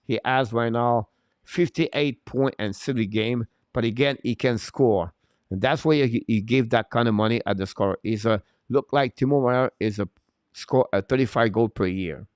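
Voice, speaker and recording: A man's voice: mid-range voice, moderate pace, clean tone, dry recording, clean studio sound. A man hosting a live hockey stream, giving unscripted, emphatic opinions and answering chat. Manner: opinionated, emphatic, repeats points.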